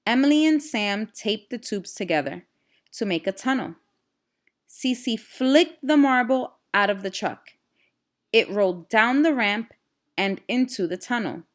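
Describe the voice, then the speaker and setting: A woman's voice: fairly high pitch, expressive, a little slow. A woman reading a children's storybook aloud expressively, with explanatory asides to young listeners. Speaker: read-aloud storyteller and teacher.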